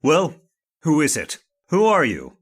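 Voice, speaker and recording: An actor playing a stern, stubborn master leading a search, speaking in commanding, impatient, formal old-fashioned phrases in the style of radio horror. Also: male voice, a little high, huge pitch swings, unhurried, husky, exaggerated voice. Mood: alarmed.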